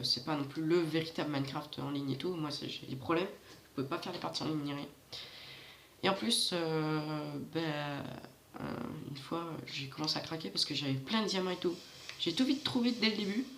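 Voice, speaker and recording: A man's voice: a little high, a bit bright, reverberant, slight background noise. A man giving casual, rambling let's-play commentary straight to his viewers, with a stuffy nose from hay fever. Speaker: gaming channel host.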